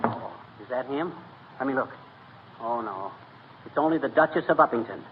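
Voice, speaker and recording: An American actor playing a folksy, wisecracking small-town husband in a 1940s radio comedy. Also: male voice, mid-range voice, expressive, normal speed, lightly acted. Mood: jolly. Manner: folksy, chuckling, colloquial. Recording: noisy, tinny 1940 radio broadcast.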